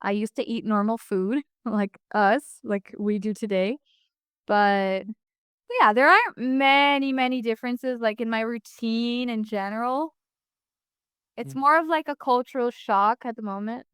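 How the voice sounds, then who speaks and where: A woman's voice: fairly high pitch, measured pace, a bit bright, smooth, no echo, clean studio sound. A young woman from the United States, a guest talking casually in an interview, rambling with many fillers and restarts.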